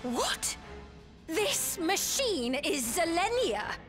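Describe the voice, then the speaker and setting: A woman playing a regal young queen, gentle yet righteous, speaking formally and earnestly in a video game cutscene. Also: extremely high pitch, sing-song, extremely slow, over music, full character voice. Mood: shocked.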